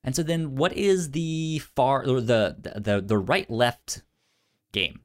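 A man's voice: medium pitch, slow. A man co-hosting a podcast, chatting casually with stammered, repeated starts. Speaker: podcast co-host.

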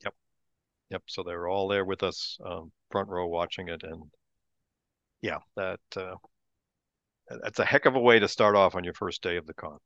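A man co-hosting a podcast, chatting casually in a relaxed, explanatory way, on a dull lo-fi mic. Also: deep voice, normal speed, pauses often, dry recording. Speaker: podcast co-host.

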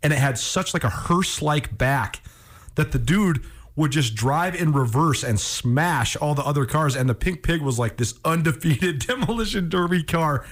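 Emphatic American man, radio talk host. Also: a little high. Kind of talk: casual chat on a local radio show.

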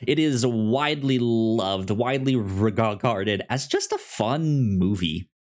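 A man with a mid-pitched voice chats about films as a podcast co-host. He is enthusiastic but halting, often restarting and repeating words as he goes. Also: expressive. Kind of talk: casual movie discussion.

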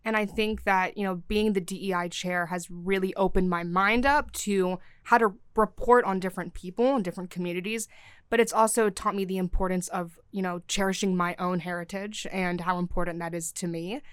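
Earnest young woman, smooth clear voice. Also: fairly low pitch, fairly even intonation, fairly quick, no echo. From Syria. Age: young adult. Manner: earnest, fluent, with frequent "you know" fillers. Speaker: guest, news desk assistant and DEI chair. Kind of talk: podcast interview answers.

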